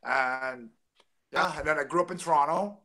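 Bright-voiced man from Taiwan, rambling. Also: a little high, normal speed. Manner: rambling, many restarts and fillers. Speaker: guest, former fighter. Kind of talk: casual interview storytelling. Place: home room with framed jersey. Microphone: video call webcam close up.

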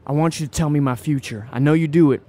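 A man's voice: a little high, fast talker, mellow, close to an everyday voice. An actor playing an eager, restless young small-town man of about twenty in an audio play, folksy and blunt. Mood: searching, eager. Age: young adult. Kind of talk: audio play acting before a live audience.

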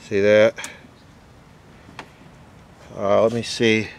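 A man giving casual, off-the-cuff narration of a repair job, halting as he thinks aloud. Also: fairly low pitch, level tone, gravelly, slight background noise. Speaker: host, vintage radio repairer. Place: beside an old console radio being worked on.

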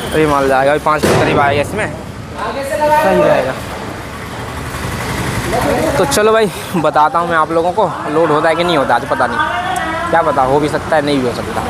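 A man, chatting casually and informally to viewers as a truck-driver vlogger. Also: on the high side. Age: 20s. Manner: informal, addresses viewers as brother. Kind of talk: casual vlog chat to viewers. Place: parked truck cab and roadside outdoors. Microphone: phone at arm's length. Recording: clear traffic and vehicle noise. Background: vehicles and urban street ambience.

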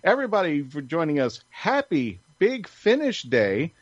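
A man's voice: fairly high pitch, wildly swooping pitch, normal speed, no echo. A man hosting a casual panel podcast, chatty and rambling, with enthusiasm. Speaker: podcast host. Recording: dull lo-fi sound.

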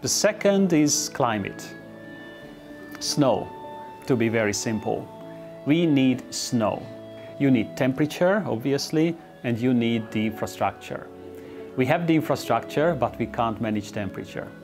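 Middle-aged man, earnest interviewee, mid-pitched, lapel mic, soft music underneath. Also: expressive, measured pace. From Hungary. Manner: earnest, upbeat, with small restarts. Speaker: interviewee, Olympic organizing expert. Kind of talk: relaxed interview talk. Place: indoor office.

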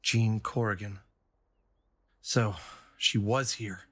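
A man's voice: fairly low pitch, fairly even intonation, takes it slowly, fairly bright tone. A man playing an adventurous, stubborn and sentimental fox hero in an audio drama. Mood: determined. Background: dramatic background music.

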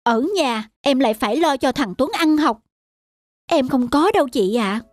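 A woman's voice: high-pitched, lively intonation, normal speed. A hesitant young mother. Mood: apologetic. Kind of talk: animated cartoon voice acting.

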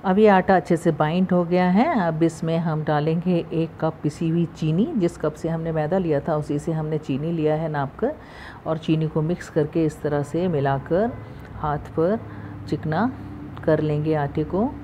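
Calm low-voiced woman teaching a recipe. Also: measured pace, slight background noise. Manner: calm, instructive, repeats steps. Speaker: cooking channel host. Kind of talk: step-by-step recipe voice-over. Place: home kitchen. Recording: dull lo-fi home recording.

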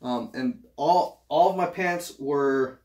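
A man's voice: medium pitch, takes it slowly, darkish tone. A young man from Utah chatting casually to camera in a small home office, rambling with fillers and restarts as he shows gym clothes. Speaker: vlogger. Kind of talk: casual try-on haul chat.

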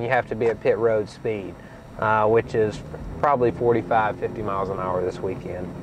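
A young man, a race car driver, casually explaining a track while driving, relaxed with many fillers and restarts. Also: mid-range voice. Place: inside a car on a racetrack. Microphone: in-car camera. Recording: muffled car-interior audio with road noise.

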